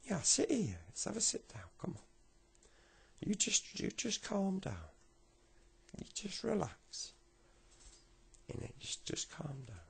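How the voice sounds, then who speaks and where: A man's voice: high-pitched, big pitch swings, slow, vocal fry, pauses often, husky, voice pushed up, full character voice. A man voicing a grandfather who soothes his grandson in coaxing, repetitive reassurances, in a comic story. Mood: soothing, concerned.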